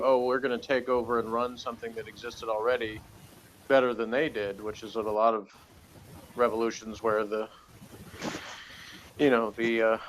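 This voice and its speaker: A man with a medium-pitched voice talks casually as a review co-host. He thinks aloud, circling back as he goes, and adds dry little jokes. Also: fairly bright tone, a little hiss or hum, poor audio. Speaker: co-host giving review opinions.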